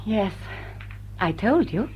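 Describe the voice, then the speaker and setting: An actress playing an enigmatic, teasing society widow in a period drawing-room drama, coolly witty and playful. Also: female voice, mid-range voice, big pitch swings, slow pace, slight background noise. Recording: dull, muffled audio.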